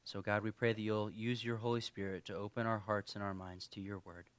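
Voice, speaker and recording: A man preaching a conversational sermon as pastor, his voice a little worn from allergies, speaking earnestly with pauses and restarts. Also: on the low side, little pitch movement, a bit bright.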